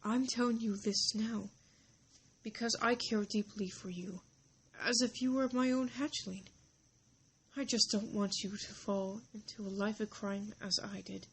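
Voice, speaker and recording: An older gentleman villain from a cartoon drama, acted by a woman, speaks slowly and with a creak. He is courtly, halting and tenderly fatherly, over faint background noise. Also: medium pitch, lightly acted, poor audio. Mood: tender, pleading.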